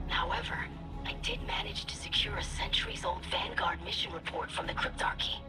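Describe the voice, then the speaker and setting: An actress playing an earnest young alien scribe in a video game, speaking formally and precisely in a breathy voice. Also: female voice, lowish voice, close to an everyday voice, rough recording. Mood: cautious, studious. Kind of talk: video game cutscene monologue. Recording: loud game music underneath.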